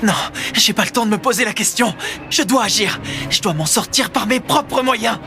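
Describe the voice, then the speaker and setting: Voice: mid-range voice, normal speed, music in the background, natural voice. A performer playing a determined, protective teenage boy hero in a French anime dub, speaking earnestly and tensely. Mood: anxious resolve. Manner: earnest, tense inner monologue. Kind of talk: French-dubbed anime acting.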